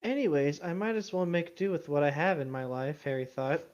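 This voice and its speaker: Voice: mid-range voice, expressive, a little fast, darkish tone. Dull lo-fi co-host reading fan fiction. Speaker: podcast co-host. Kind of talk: casual chat and reading fan fiction aloud. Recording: dull, lo-fi microphone sound.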